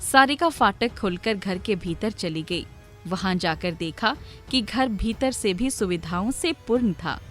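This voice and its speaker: A woman's voice: medium pitch, expressive, measured pace, fairly bright tone. A woman narrator reading a scripted story for children. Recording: music playing behind the voice.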